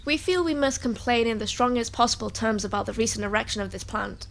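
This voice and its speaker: A self-important, officious woman with a fairly high voice. She is prim and stuffy, a pompous pantomime complainer. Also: quick pace, gravelly.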